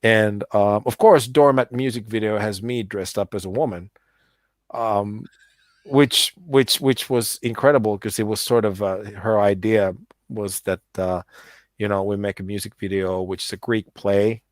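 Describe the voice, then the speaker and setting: Middle-aged man, low pitch, dry close mic; loose, rambling interview talk full of restarts. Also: steady tone, unhurried, dry recording. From United States. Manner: rambling, many restarts and repeated words. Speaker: musician guest. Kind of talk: casual interview chat.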